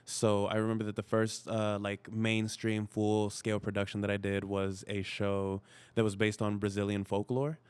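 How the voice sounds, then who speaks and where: A man's voice: lowish voice, completely flat delivery, normal speed, smooth. A man whose first language is Spanish, an actor chatting easily as a podcast guest with many "you know" fillers. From United States. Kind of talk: casual interview chat.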